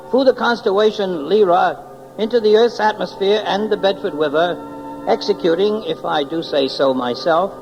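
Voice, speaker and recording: An actor playing a fussy, eccentric, centuries-old guardian angel in a 1940s radio drama, speaking in a pompous, wordy, formal way. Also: male voice, sits high, gravelly. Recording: muffled, noisy old 1940s radio recording.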